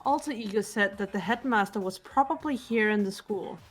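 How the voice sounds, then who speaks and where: A composed teenage girl detective acted by a woman, quietly proud and guarded. Her mid-pitched delivery is measured and restrained, in the manner of a visual novel dub, with soft music behind it. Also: lightly acted. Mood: calm, analytical. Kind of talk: amateur voice acting in a visual novel playthrough.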